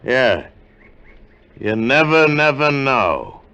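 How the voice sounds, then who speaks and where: An actor playing a watchful gold hunter in a 1950s radio drama, speaking in a deliberate, quietly ominous way. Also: male voice, mid-range voice, big pitch swings, full character voice. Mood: quietly menacing. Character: slow, watchful, quietly menacing male jungle gold hunter named Gabe. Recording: dull vintage 1950s radio recording, faint music.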